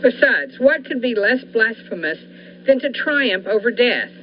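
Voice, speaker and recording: A woman's voice: a little high, animated, a little slow. A young woman playing a scientist's devoted, fervent wife in a 1940s-style radio thriller, earnest and persuasive. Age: young adult. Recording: dull lo-fi recording with faint noise.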